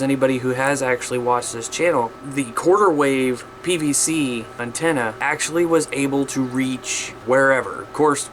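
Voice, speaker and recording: A man's voice: mid-range voice, a bit bright, slight background noise. A man talking casually and plainly to viewers as he explains his hobby setup in a homemade vlog. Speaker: hobbyist vlogger showing his CB radio setup.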